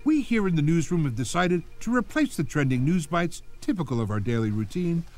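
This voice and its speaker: A pompous radio anchor. The voice is fairly high and swings with animated, chirpy delivery over faint music. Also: male voice, fairly quick. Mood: pompous, upbeat. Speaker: news anchor. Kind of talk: mock radio newscast.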